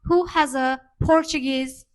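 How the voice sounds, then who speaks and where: Woman, slightly high voice. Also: fairly even intonation, a little slow. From Turkey. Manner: explanatory, many hesitation fillers. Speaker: co-host. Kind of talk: casual chat.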